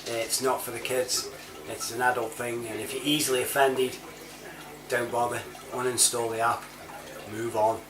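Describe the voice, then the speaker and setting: A man's voice: medium pitch, little pitch movement, normal speed, a crowd in the background. A British man giving casual, informal commentary as the host of a home-made app review. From United Kingdom. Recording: echoey room, distant mic, faint noise.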